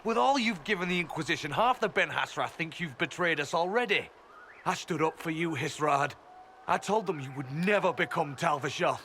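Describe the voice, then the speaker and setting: A man's voice: a little high, normal speed, sharp tone. A man playing a stern, zealous loyalist spy in a fantasy game, speaking formally and insistently. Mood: stern, urgent. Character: stern, zealous Qunari loyalist elf spy, bitterly betrayed. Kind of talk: cinematic fantasy game dialogue. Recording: background noise.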